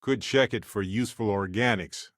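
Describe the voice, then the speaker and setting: An actor playing an earnest, wry, talkative space explorer companion in a video game, speaking in a conversational, reflective way. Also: male voice, lowish voice, normal speed, a bit bright, no echo, clean studio sound, lightly acted.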